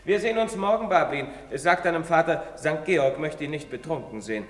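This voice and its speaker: An actor playing a pious, fatherly village priest who admonishes gently in a preacherly way. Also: a little high, animated, a little fast, faint music behind. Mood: admonishing. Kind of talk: stage play recording. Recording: long hall reverb.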